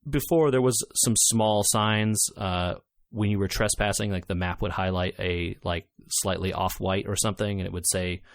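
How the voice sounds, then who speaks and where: A man's voice: sits low, steady tone, normal speed, vocal fry, hardly any pauses, no room sound. A man co-hosting a podcast, explaining things in an informal, enthusiastic way in casual chat about video games. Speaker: podcast co-host reviewing games.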